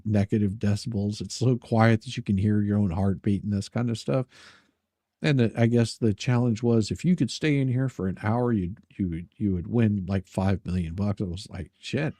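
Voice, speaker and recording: A man's voice: lowish voice, warm tone, no room sound, studio quality. A man hosting a casual livestream, rambling and repeating his words, with a crude, joking streak. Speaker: livestream host.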